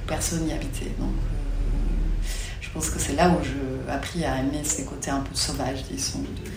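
Low, creaky-voiced woman, hesitant. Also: little pitch movement. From Mexico. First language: Spanish. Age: 40s. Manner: hesitant, halting. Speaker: interviewee, photographer. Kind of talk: casual interview talk. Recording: roomy, echoing, clear background noise.

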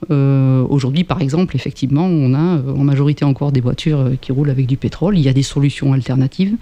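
A man's voice: mid-range voice, fast talker, dark tone. A French-speaking man in his fifties, a guest answering interview questions about climate work, hesitant with many fillers and restarts. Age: 50s. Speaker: guest, climate association member.

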